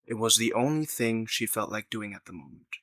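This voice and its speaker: A man's voice: fairly low pitch, lively intonation, brisk, fairly bright tone, no echo. A man narrating a scripted story in the third person as the narrator of an audio drama.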